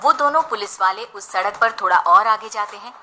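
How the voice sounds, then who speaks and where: A woman's voice: medium pitch, fairly quick, bright, forceful tone, faint music behind. A woman narrating a scripted horror bedtime story as a storyteller.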